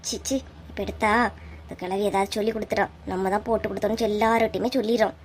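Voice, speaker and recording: A woman's voice: mid-range voice, little pitch movement, very fast, darkish tone, slight background noise, lightly acted. Sly village gossip with a Kanyakumari Tamil accent, acted by a woman. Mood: irritated, scheming. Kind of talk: comic cartoon voice acting.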